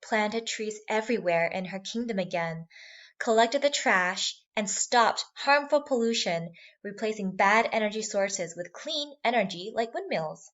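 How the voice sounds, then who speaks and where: A woman reading a scripted fairy tale for children as a storyteller. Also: mid-range voice. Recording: slightly dull sound, music bed.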